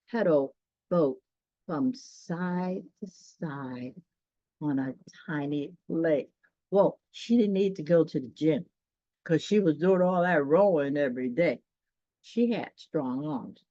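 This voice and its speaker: A woman's voice: on the low side, dark tone, no room sound, dull audio. A woman reading a children's story aloud expressively, with folksy, chatty asides to her listeners.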